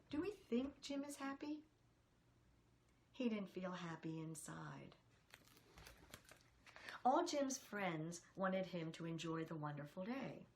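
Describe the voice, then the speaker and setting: A woman's voice: medium pitch, a bit bright, a little hiss or hum. A woman reading a picture book aloud to children, expressively, putting questions to her young listeners.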